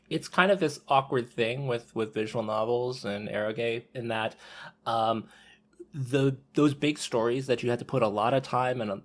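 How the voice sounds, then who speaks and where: Measured man in podcast chat. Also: mid-range voice. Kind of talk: casual podcast discussion.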